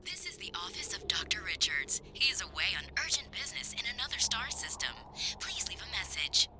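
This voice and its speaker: A woman's voice: mid-range voice, sing-song, brisk, music in the background, close to an everyday voice. A woman voicing a formal automated phone answering system in a video game. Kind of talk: recorded announcement in a game cutscene.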